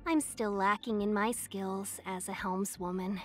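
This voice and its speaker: A woman's voice: mid-range voice, fairly even intonation, measured pace, faint music behind, natural voice. A woman voicing a young fox-eared merchant in a video game, silver-tongued, polite and playfully coy. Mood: chatty, courteous. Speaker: game character voice. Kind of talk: scripted video game character voice lines.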